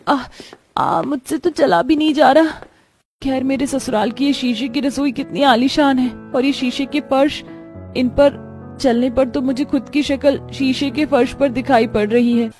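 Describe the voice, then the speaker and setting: Lady playing young innocent bride, high hurt voice, kinda plaintive, story drama w/ music under it. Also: measured pace, a little mellow. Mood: pained wonder. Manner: plaintive, exclaiming, questioning.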